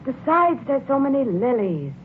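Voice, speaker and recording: A jealous, fretful wife in a forties radio play. Her high voice swoops emotionally as she pleads. The old broadcast recording is narrow and muffled, with some hiss. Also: big pitch swings, normal speed. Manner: plaintive, emotional. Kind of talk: 1940s radio drama acting.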